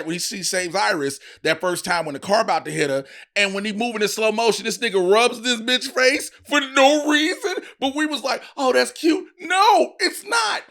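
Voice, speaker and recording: A man's voice: sits high, a bit bright. A man co-hosting a comedic podcast, chatting casually and profanely, giving strong opinions and acting out imagined dialogue. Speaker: podcast co-host.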